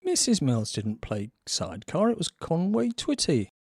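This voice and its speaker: A British actor playing an earnest, slightly odd office colleague in a comedy audio drama, eager and literal in manner. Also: male voice, a little high, huge pitch swings, normal speed, a little mellow, no room sound, clean studio sound, exaggerated voice. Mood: excited, playful.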